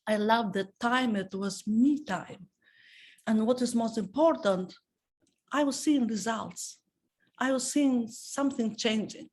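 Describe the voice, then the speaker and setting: A woman's voice: a little high, slow pace, darkish tone. A middle-aged woman from Albania, speaking English with an Albanian accent as a non-native speaker, gives a heartfelt personal testimonial presentation in an earnest manner with non-native phrasing. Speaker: presenter sharing her story.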